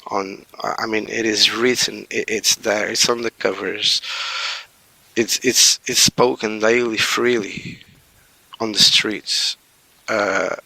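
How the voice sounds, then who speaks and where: A man's voice: lowish voice, creaky, pushed and bright, no room sound, rough recording. A man, a podcast guest speaking hesitantly with many fillers and restarts. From Portugal. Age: 40s. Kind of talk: casual interview talk.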